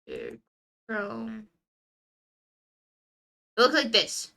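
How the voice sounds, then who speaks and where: A woman's voice: a little high, wildly swooping pitch, extremely slow, gravelly, sharp tone. A young woman with a congested nose chatting casually on a game stream, drifting into muttered asides to herself. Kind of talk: casual live stream chat while playing a game.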